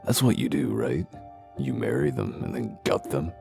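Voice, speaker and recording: A man playing a gruff, foul-mouthed wolf detective in a comic dub, questioning people bluntly and accusingly. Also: lively intonation, moderate pace, faint music behind, exaggerated voice. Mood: accusing, sardonic. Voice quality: very deep, gravelly.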